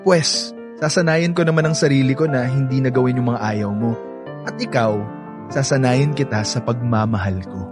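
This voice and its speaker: Actor as earnest young Filipino suitor, loud music. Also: male voice, mid-range voice, normal speed, lightly acted. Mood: persuasive. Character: earnest reformed young playboy pleading his love. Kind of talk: Filipino radio drama acting.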